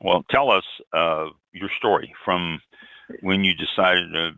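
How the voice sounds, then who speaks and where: A man hosting a radio interview, talking haltingly with sudden upward jumps in pitch. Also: fairly even intonation, gravelly, sharp tone, no room sound. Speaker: radio show host. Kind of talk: interview chat. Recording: dull lo-fi dry sound.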